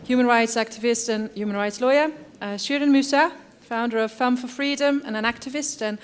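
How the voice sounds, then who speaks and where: A woman's voice: on the high side, fairly quick, clean tone. A woman moderating a panel discussion, introducing guests and asking questions in a friendly way, with many fillers. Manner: friendly, with frequent fillers and backchannels. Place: public debate hall.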